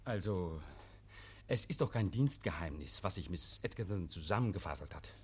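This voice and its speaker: An actor in a 1950s German radio play, playing a nervous, evasive private scholar who talks in a fussy, pedantic, over-polite and agitated way. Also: male voice, deep voice, lively intonation, moderate pace, husky. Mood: probing. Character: nervous, evasive male private scholar hosting policemen, defensive and testy. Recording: vintage 1951 radio drama recording.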